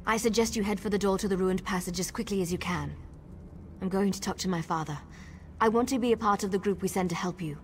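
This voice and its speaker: A woman's voice: mid-range voice, fast talker, natural voice. Actress as eager, earnest young elf woman. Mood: resolute. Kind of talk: fantasy video game voice acting. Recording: faint background noise.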